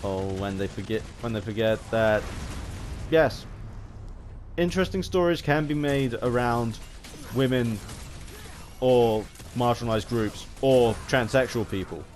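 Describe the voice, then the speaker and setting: A man giving an unscripted opinion ramble as a gaming vlogger, halting and often restarting his phrases. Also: mid-range voice, a little mellow, slight background noise.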